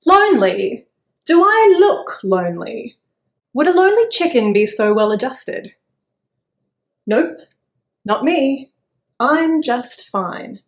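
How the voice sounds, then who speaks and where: A woman's voice: mid-range voice, very slow, darkish tone. A woman voicing a grumpy, stubborn little hen in a read-aloud picture book, snapping and grumbling.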